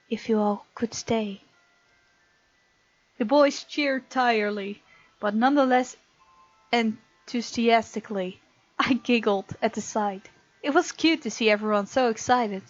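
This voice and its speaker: Girl doing a visual novel lets play, kinda high voice, chatty, reads stuff out, game music behind. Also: a little slow, no echo, dull audio. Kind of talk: let's play commentary, reading game text aloud.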